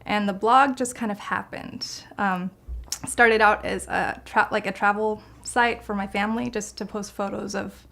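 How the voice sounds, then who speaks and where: A woman's voice: medium pitch, fairly even intonation, measured pace, gravelly, fairly bright tone. A woman food blogger answering interview questions in a casual, conversational way.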